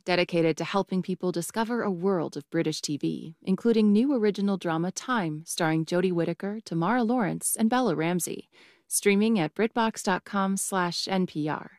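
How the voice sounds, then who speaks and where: A woman announcer reading sponsor credits in a crisp, measured way. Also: fairly low pitch, dry recording, clean studio sound.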